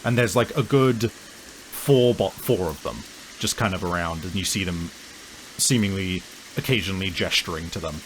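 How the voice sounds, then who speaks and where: A man improvising scene descriptions as a game master, halting as he searches for words. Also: sits low, fairly bright tone, slight background noise, low-quality recording. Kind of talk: improvised tabletop game narration.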